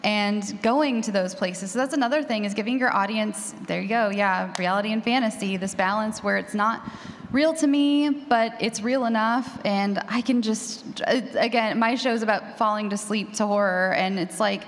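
A woman's voice: mid-range voice, normal speed. A woman speaking casually and earnestly as a panelist. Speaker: panelist and horror podcast host. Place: large indoor room at a convention panel. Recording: reverberant hall.

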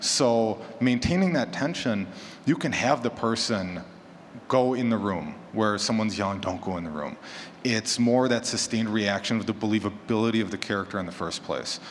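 A man with a fairly low voice gives his opinions in a casual panel discussion. He is conversational but emphatic. The recording has hall echo and faint noise behind him. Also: animated, normal speed. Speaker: horror fiction podcaster on a panel. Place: large echoey room at a convention panel.

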